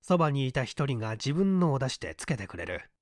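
A man's voice: mid-range voice, sing-song, fairly quick, darkish tone, no room sound, studio quality. A man narrating mystery fiction from a script as an audiobook reader, in a dramatic manner.

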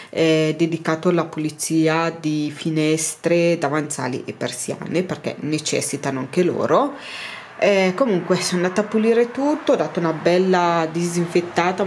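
A woman's voice: low voice, darkish tone. A woman in her thirties, a cleaning vlogger, chatting loosely with fillers and restarts as she tidies her home bathroom, recorded on a tripod camera. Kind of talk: casual clean-with-me narration. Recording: echoey tiled bathroom.

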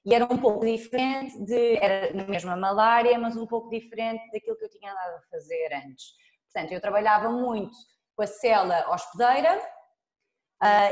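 A woman in her thirties from Portugal with a European Portuguese accent, a scientist guest talking casually and thoughtfully over a video call, with frequent pauses and fillers. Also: medium pitch. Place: lab room with dark wall, indoors. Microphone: laptop webcam on video call. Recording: roomy echo on a video call.

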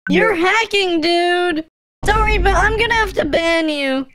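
A man's voice: very high voice, measured pace, sharp tone, no room sound, heavily acted. A man voicing an indignant, bossy young female game admin in a cartoon. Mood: stern. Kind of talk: cartoon animation voice-over.

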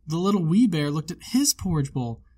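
A man with a slightly high voice narrates a children's storybook. He reads aloud in a measured, expressive storytelling way. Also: breathy edge. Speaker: storybook reader.

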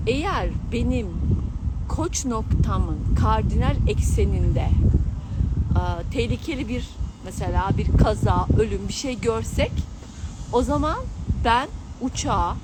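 A middle-aged woman chatting casually and exclaiming to her followers in a giggly travel vlog style, filming herself outdoors on a handheld gimbal. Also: medium pitch. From Turkey. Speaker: vlogger and astrologer. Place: outdoors at a mountain viewpoint. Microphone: handheld camera on a gimbal. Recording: loud outdoor urban noise with vehicles.

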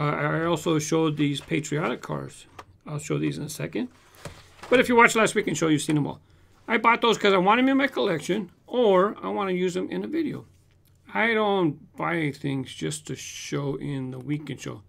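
Gravelly high-voiced man from Southern California. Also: normal speed. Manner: animated, gestures with hands, shows toy cars. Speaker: toy car collector channel host. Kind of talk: casual chat answering viewer comments. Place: home room with shelves of toy cars. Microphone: large desk condenser mic in front.

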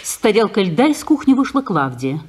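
Woman narrating stage directions calmly. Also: mid-range voice, a little fast, breathy edge.

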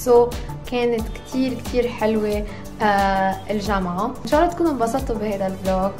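A woman's voice: mid-range voice, fast. A woman in her thirties with a Lebanese Arabic accent, chatting casually and effusively to her vlog, mixing in English. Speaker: vlogger. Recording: music behind the voice.

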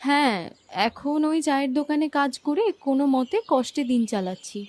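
Woman acting high-voiced, humble young village woman. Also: fairly quick, a little mellow, natural voice. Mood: resigned, sad. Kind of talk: children's cartoon voice acting.

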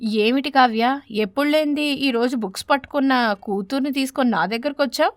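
Casting: obliging pigeon neighbor for an animated tale, high-pitched and chatty , done by a woman. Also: a little fast, no echo, clean studio sound, natural voice. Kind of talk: animated bedtime story character.

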